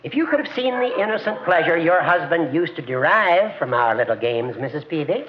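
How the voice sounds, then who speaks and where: An actor playing a dignified, self-satisfied judge in a 1940s radio comedy, formal and tactful. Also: male voice, fairly high pitch, very animated, brisk. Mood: solemn, tactful. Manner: formal, precise, genteel. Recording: muffled, faintly noisy 1940s radio.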